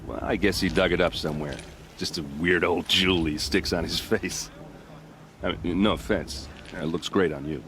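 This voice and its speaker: A man's voice: low-pitched, a bit bright, slight background noise. An actor playing a friendly, easygoing young male soldier in a game cutscene, talking in a casual, joking way. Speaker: game character. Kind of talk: video game cutscene dialogue.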